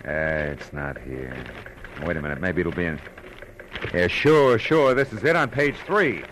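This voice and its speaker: An actor playing a wry, dogged private detective in a 1940s radio drama, with clipped, world-weary noir delivery. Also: male voice, low voice, animated, a little slow, a little hiss or hum. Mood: urgent, intent. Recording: vintage radio recording with loud music.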